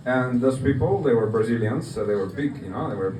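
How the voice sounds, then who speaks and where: Amateur male comic, Greek accent, animated pitch, halting casual storytelling, caught from a distance in an echoey room. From Greece. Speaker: amateur stand-up comedian. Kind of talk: stand-up comedy storytelling to an audience. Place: live open mic night in a bar. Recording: roomy, distant mic, noisy bar open mic. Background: room noise, audience laughter.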